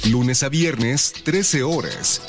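Punchy male radio announcer, loud music. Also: medium pitch, extremely slow. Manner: punchy promotional announcer delivery. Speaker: station announcer. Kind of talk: radio station IDs and promos.

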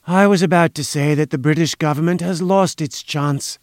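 A man voicing an oily, boastful foreign inventor-conspirator in an audiobook, velvety and slow, with a slight foreign accent. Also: a little high, no echo, studio quality, lightly acted. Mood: smug, threatening. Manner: slow, obsequious, deliberate.

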